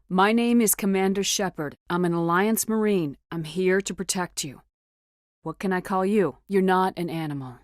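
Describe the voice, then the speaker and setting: A woman's voice: fairly low pitch, no room sound, studio quality, natural voice. A woman playing a steely but compassionate military starship commander in a video game, speaking in a firm, direct and controlled way. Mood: soothing, careful. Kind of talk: scripted video game dialogue acting.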